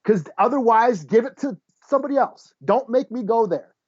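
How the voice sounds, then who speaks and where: A man's voice: very high voice, animated, normal speed, darkish tone, slightly rough, dull audio. A man co-hosting a podcast, chatting casually in a blunt, profane way. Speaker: podcast co-host. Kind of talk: casual chat.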